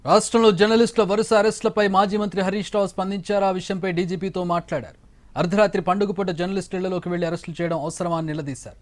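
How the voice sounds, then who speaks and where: A man's voice: sits high, fairly even intonation, rapid-fire. A man reading scripted news as an anchor in a formal bulletin style.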